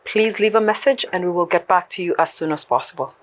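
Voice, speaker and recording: A woman's voice: fairly low pitch, quick pace, close to an everyday voice. A woman voicing a polite, formal office voicemail greeting. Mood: polite, businesslike.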